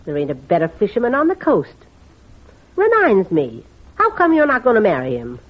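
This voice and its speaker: A woman playing a gruff but kindhearted rural foster mother in an old-time radio drama, husky, folksy and scolding with a dry wit. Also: mid-range voice, wildly swooping pitch, moderate pace. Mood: wry. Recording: muffled old radio recording.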